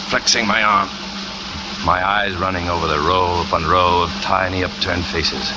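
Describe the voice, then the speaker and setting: An actor playing a brooding trapeze artist who confesses in an intense, dramatic first-person radio thriller narration. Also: male voice, low-pitched, highs cut off, noisy background, lightly acted. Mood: grim, tense. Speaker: narrating lead character.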